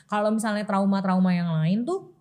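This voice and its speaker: A woman's voice: medium pitch, normal speed, a little mellow. A young woman with a Jakarta Indonesian accent co-hosting a podcast, telling personal stories casually and acting out bits of dialogue. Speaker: podcast co-host. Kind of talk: casual chat, personal storytelling.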